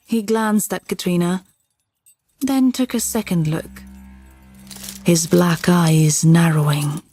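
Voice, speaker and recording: A woman narrates a fantasy story at a slow, deliberate pace. Her voice sits slightly low, with music playing softly behind her.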